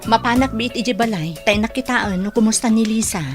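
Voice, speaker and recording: Woman acting a worried mother, slightly high voice. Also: a little fast, over music, close to an everyday voice. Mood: concerned. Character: worried adult mother, proud and resentful. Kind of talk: Ilocano radio drama acting.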